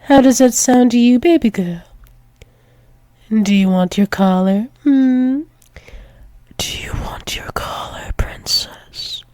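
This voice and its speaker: Woman playing a slow, tender alpha werewolf lover. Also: on the high side, warm tone, no room sound, studio quality. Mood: coaxing, possessive. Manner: soothing, repetitive, hesitant with small stumbles. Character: tender dominant female alpha werewolf demon lover, doting and possessive. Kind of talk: intimate ASMR roleplay, reassuring a partner. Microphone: close microphone, dry.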